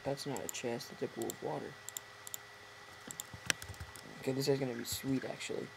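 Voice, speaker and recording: A hoarse teenage boy with a gravelly, creaky voice rambles through a let's-play, correcting himself and talking to his viewers. He sounds like he is at a home desk mic, with audible background noise and keyboard typing. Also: medium pitch, fairly even intonation, moderate pace. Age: teens. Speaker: gameplay commentator. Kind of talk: casual let's-play commentary. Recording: noisy home recording with typing.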